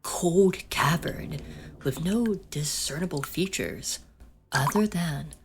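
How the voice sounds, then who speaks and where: A woman playing an ominous narrator and incanter in a whispered ASMR horror piece, with a deliberate, chanting delivery. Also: deep voice, a little hiss or hum.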